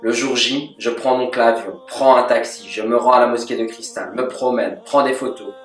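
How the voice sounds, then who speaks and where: A man giving a calm, measured religious lesson as a scripted voice-over, with Arabic devotional formulas woven into his speech. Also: mid-range voice, faint music behind. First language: French. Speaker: religious teacher. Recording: echoey, from a distance.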